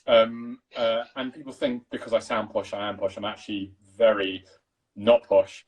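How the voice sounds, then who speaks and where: Posh-sounding English man, mumbly and fast. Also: on the low side, level tone, darkish tone, breathy edge. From East London. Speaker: guest cinematographer. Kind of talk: casual chat.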